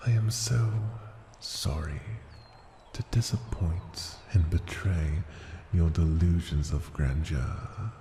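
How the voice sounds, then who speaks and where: A man voicing an ancient male dragon in an ASMR fantasy roleplay, possessive, seductive and condescending, breathy and often sinking into a whisper. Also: low-pitched, heavily acted. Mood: condescending, commanding. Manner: slow, deliberate, teasing endearments. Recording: roomy echo, noisy ambience.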